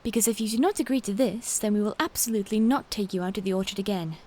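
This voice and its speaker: A woman's voice: medium pitch, fast talker, a little mellow, natural voice. A woman playing a stern, dutiful royal knight in a fantasy audio drama, firm and formal. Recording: clear background hiss.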